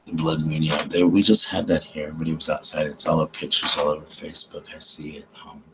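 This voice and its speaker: A man hosting a late-night live ASMR chat, talking low and slowly with a deep voice and many ums as he greets viewers by name. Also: level tone, a bit bright, slight background noise, poor audio. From South Carolina.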